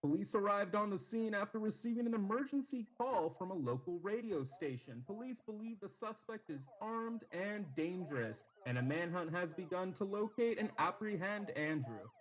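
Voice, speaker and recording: A man's voice: high-pitched, lively intonation, normal speed, few pauses, low-quality recording. A man playing an eager, urgent on-scene news reporter in a radio drama.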